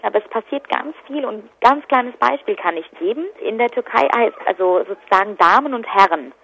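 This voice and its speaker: A woman's voice: a little high, expressive, fairly quick, creaky, fairly bright tone, slight background noise. A woman giving spontaneous interview answers as an activist. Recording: dull, lo-fi telephone line.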